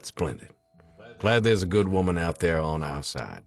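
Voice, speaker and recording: Deep-voiced folksy pious man played by an actor. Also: animated, moderate pace. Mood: pleased, courteous. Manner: hesitant, ingratiating, folksy. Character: folksy pious male anti-abortion activist, politely pushy. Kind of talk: table read of a film script.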